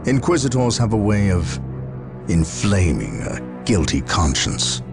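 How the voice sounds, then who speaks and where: An actor playing a stern, proud space marine commander in a video game, gravelly and solemn, with formal, commanding speech. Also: male voice, lowish voice, very animated, measured pace, over music. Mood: brooding. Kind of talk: dramatic video game voice acting.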